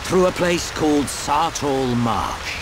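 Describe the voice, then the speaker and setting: An actor playing a gruff, worldly older adventurer and guide in a video game cutscene, with a knowing, grumbling manner. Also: male voice, fairly high pitch, expressive, slow pace, noisy background. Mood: knowing, instructive.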